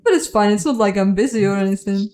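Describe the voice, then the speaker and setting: A woman voicing a self-important, distrustful male magistrate in a video game, brisk, officious and demanding. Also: mid-range voice, dark tone, slight background noise, natural voice. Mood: brisk, impatient. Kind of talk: video game character reading.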